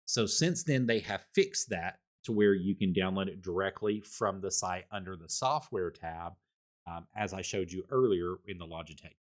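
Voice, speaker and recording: A man with a fairly low, loud voice gives a casual microphone review on his home stream. He rambles, restarts and repeats words as he walks through his settings, speaking into a desktop condenser mic. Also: moderate pace.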